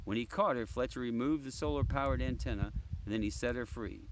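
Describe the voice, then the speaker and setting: A man's voice: mid-range voice, brisk. A man reading a children's story aloud in an informal way, sometimes stumbling and correcting himself. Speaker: storybook reader, ranger. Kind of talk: read-aloud children's story with casual asides. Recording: clearly noisy background with clicks.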